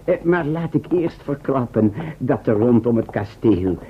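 An actor playing a genteel, mysterious rescuer with a formal, courteous manner in a radio drama. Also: male voice, medium pitch, expressive, unhurried, lightly acted. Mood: amused. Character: mysterious, genteel rescuer leading fifty identical men. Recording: muffled, noisy old radio recording.

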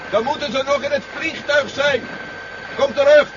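An actor playing an urgent, commanding older army general in a 1970s science fiction radio play. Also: male voice, very high-pitched, steady tone, normal speed. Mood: alarmed, shouting. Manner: commanding, urgent, formal with superiors. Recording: muffled, noisy 1970s radio play recording.

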